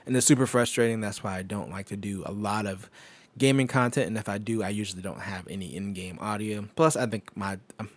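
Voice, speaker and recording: A man with a low voice talks casually at his home desk during a live stream. He rambles and laughs often, filling gaps with fillers and restarts. His voice is close and dry, spoken into a microphone on an arm. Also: fairly even intonation, fairly quick, no echo. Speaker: tech streamer. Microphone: microphone on an arm through an audio interface.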